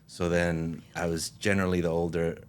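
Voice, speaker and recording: A man born in Mexico, a guest in a casual interview, telling his story in a relaxed way and laughing easily. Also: low-pitched, normal speed, clean tone.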